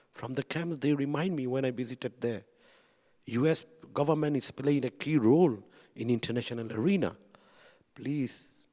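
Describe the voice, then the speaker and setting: A man from western Burma gives impassioned public testimony in halting, emphatic English. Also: medium pitch, a little mellow. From Rakhine State, western Burma. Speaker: activist speaker at a commemorative event. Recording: echoey hall sound.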